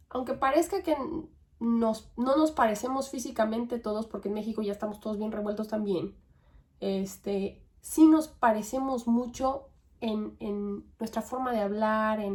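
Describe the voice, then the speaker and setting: A young woman from Mexico with a Mexican accent, hosting a podcast and thinking aloud in a relaxed, conversational way with tag questions and fillers. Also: on the high side. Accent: Mexican Spanish. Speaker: podcast host. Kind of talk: reflective monologue.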